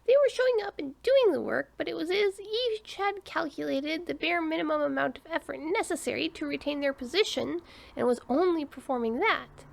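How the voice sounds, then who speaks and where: A woman's voice: normal speed, creaky. A woman voicing a small, anxious male alien scientist who explains himself nervously. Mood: defensive. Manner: nervous, high, defensive explaining.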